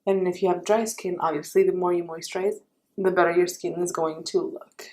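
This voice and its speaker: Young female beauty vlogger, raspy, warm low-brightness tone, casual chatty tutorial delivery. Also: steady tone, brisk. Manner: chatty, self-correcting, friendly advice.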